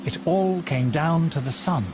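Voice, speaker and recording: A man narrating a documentary in a measured, deliberate scripted delivery. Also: a little high. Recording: narration over music from a film.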